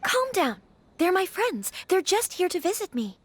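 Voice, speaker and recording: An earnest young noblewoman from a video game, thoughtful and quietly firm. Her voice sits very high and bright. The recording is completely dry and close. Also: sing-song, normal speed, dry recording. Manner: soft, thoughtful, firm when she must be. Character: young noblewoman, earnest and composed clan heir.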